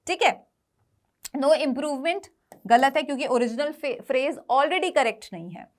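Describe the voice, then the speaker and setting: A woman with an Indian accent and a distinctly high voice teaches grammar for exam students. She speaks slowly, often asking a question and then answering it herself. Her explanations move back and forth between two languages. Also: expressive. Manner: switches between Hindi and English. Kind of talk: exam coaching lesson.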